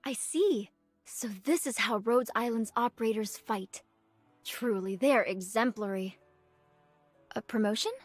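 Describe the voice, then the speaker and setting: A woman voicing an earnest, dutiful young nun archer in a video game, speaking politely and formally in measured phrases. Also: fairly high pitch, no room sound. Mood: calm, formal. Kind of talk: scripted game character voice lines. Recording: clean dry studio, music behind.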